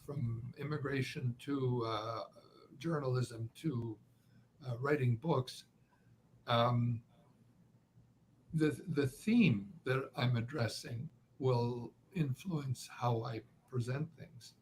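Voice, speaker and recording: A man's voice: medium pitch, a little mellow, slight background noise. Canadian man with a slight Ukrainian accent. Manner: measured, deliberate, with frequent "uh" pauses. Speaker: guest, author and journalist. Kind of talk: reflective interview answers.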